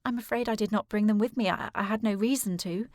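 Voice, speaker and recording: A woman playing a determined, formal young gentlewoman worried about her sister in a period audio drama. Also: mid-range voice, fast, dry recording, studio quality, close to an everyday voice. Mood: troubled, insistent. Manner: formal, precise, insistent.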